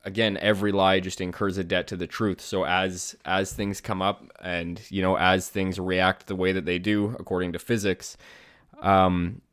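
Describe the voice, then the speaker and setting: Low-voiced man, a little nasal and stuffy. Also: level tone, normal speed, fairly bright tone, smooth, no echo, clean studio sound. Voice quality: slightly nasal. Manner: rambling, many fillers and restarts. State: congested, under the weather. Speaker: podcast host. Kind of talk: casual solo podcast talk.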